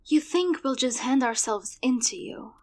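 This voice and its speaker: A woman's voice: sits high, expressive, unhurried. A woman playing a headstrong young squad leader. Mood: taunting. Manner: defiant, impulsive.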